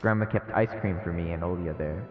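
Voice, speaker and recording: A man's voice: low voice, fairly quick. A man streaming a visual novel, reading the narration aloud and adding casual asides. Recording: echoey, phone-like narrow sound, long reverb. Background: game music.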